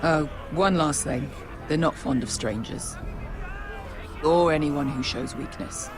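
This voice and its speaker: An actor playing a hardened, cynical sellsword in a video game, giving blunt, grim warnings. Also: male voice, fairly high pitch, slow pace, crowd noise. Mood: wry, blunt. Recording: clear outdoor background noise.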